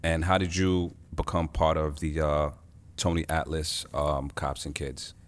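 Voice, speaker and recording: A man's voice: a bass voice, level tone, unhurried. A man hosting a casual interview in a boxing gym, hyping the intro and then asking questions in an easygoing way. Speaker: interviewer, show host.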